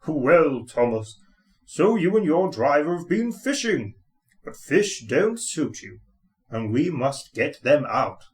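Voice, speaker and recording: A man's voice: fairly high pitch, big pitch swings, takes it slowly, a little mellow. Man as a pompous, jovial railway boss. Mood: amused, scolding.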